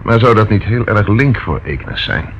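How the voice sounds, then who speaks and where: A stern, determined detective in a radio drama. He is measured and probing. The recording is old and dull-sounding. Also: fairly low pitch, animated, fairly bright tone. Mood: sceptical, businesslike. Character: determined, stern English police inspector, probing and sceptical. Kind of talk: radio play acting. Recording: muffled old radio recording, faint noise.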